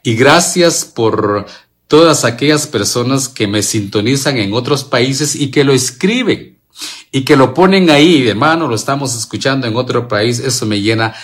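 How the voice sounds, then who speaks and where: A man's voice: medium pitch, normal speed. A man with a Guatemalan accent hosting a devotional gospel reflection, speaking directly to listeners, repeating phrases and answering his own questions. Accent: Guatemalan Spanish. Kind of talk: devotional gospel reflection to an online and radio audience.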